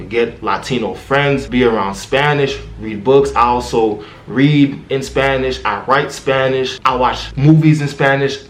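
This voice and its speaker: A man's voice: medium pitch, slight background noise. A young man whose first language is Haitian Creole, giving tips as a YouTuber in a casual, slangy, laid-back vlog style. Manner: slangy, laid-back street talk with "you feel me". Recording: roomy echo.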